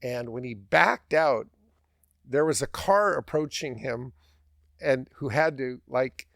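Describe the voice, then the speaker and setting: A man's voice: mid-range voice, slow, vocal fry, fairly bright tone, no echo, studio quality. A man hosting a podcast, telling a casual anecdote with emphatic repetition and an amused manner. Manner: chuckles while talking, repeats phrases for emphasis. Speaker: podcast host.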